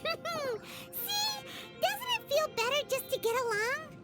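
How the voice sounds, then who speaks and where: Squeaky, frank little game companion. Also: female voice, big pitch swings, slow pace, bright, forceful tone, exaggerated voice. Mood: encouraging. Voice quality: high, childlike. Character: small, high-voiced, friendly companion character. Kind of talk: video game voice acting. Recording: music playing behind the voice.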